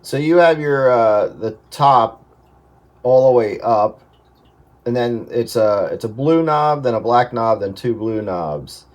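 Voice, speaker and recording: A man's voice: medium pitch, lively intonation, slow pace, a little mellow. Man thinking aloud, talking shop. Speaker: amateur radio operator advising on audio setup. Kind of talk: casual technical chat over radio.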